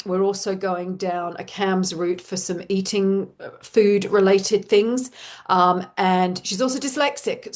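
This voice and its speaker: Chatty Australian woman coaching parents. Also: mid-range voice. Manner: warm, reassuring, chatty with fillers. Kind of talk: online workshop talk.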